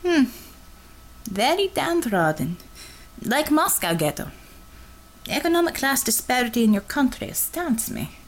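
A woman's voice: high-pitched, very animated, a little slow, long pauses, voice pushed up, heavily acted. A woman putting on a blunt Russian commissar. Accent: put-on Russian. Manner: blunt, clipped speech without articles. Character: brash Russian woman commissar, mocking and tough. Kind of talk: audiobook character reading.

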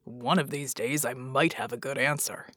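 A woman narrator voicing a gruff male soldier with dry humor, speaking in a slow, weary, low drawl. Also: fairly even intonation, a bit bright, dry recording. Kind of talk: audiobook narration.

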